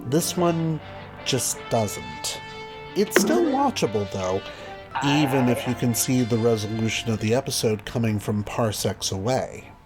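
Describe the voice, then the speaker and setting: Male reviewer, scripted commentary, mid-pitched voice, wry delivery, music underneath. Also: very animated, a little slow. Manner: wry, dry asides. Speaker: video reviewer, host. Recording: music behind the voice. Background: background music.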